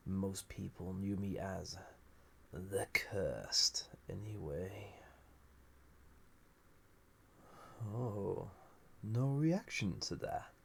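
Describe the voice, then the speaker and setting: A man playing an ancient banished villain, a magical being, in an intimate audio roleplay, musing theatrically with a gravelly, husky, breathy voice. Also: deep voice, slight background noise, heavily acted. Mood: wistful, resigned. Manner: musing, theatrical, drifting into breathy near-whispers. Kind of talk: scripted audio roleplay, intimate monologue to listener.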